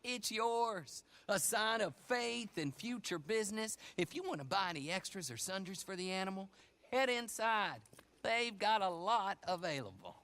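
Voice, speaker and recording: Bright, animated frontier horse dealer. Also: male voice, sits high, normal speed, exaggerated voice. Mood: friendly, selling. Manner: folksy, expansive salesman's patter. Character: brisk, folksy, wily frontier horse dealer. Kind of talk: western video game cutscene acting. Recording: clear background noise.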